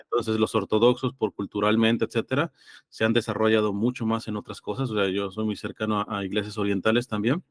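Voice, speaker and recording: A man's voice: lowish voice, level tone, fairly quick, no echo, studio quality. A man with a slightly congested throat, speaking hesitantly and reflectively as an interview guest in a theological conversation. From Los Angeles, California. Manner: hesitant, reflective, explains through examples and citations.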